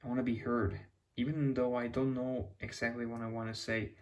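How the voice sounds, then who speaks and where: A man's voice: mid-range voice, level tone, a little fast, a little mellow, lo-fi sound. A young man with a Mexican accent talking to camera in an unscripted, reflective ramble, often restarting and hunting for words. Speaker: YouTuber.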